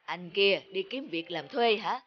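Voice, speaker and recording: A man's voice: high voice, animated, a little slow, highs cut off. Man playing a curt, haughty rich landowner. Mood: imperious. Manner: curt, imperious. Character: haughty rich male village landowner hiring labourers. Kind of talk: cartoon folk-tale dubbing.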